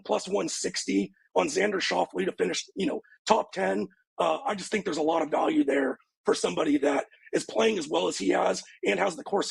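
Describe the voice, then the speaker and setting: A man's voice: high voice, vocal fry, breathy edge, no room sound, poor audio. A man giving golf betting picks in casual chat, laid back with lots of fillers.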